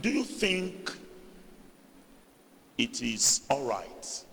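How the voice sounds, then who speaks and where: A man's voice: wildly swooping pitch, extremely slow, creaky, a little hiss or hum. Nigerian Yoruba man, pastor, emphatic sermon delivery, echoing hall. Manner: emphatic, rising to loud stressed phrases, then dropping low. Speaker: preacher. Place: church hall. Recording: reverberant hall.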